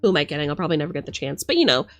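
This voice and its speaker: A woman's voice: low-pitched, very animated, very fast, fairly bright tone, no room sound. Emphatic, exasperated woman streamer over music. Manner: emphatic, exasperated, sweary, theatrical. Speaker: streamer and tabletop game master. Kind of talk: casual opinionated rant to stream viewers.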